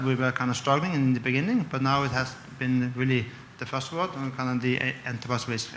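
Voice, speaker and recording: A man from Norway with a Norwegian accent, introducing himself on a panel in a halting way, searching for words. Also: medium pitch, fairly bright tone, roomy sound, slight background noise. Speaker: head of enterprise risk management, panelist. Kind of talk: conference panel self-introduction.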